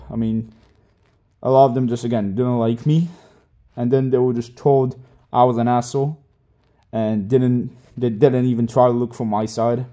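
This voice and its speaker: A man's voice: mid-range voice, warm tone. A young man whose first language is Hebrew, giving a rambling, defensive apology in casual speech with many fillers. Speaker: online gamer.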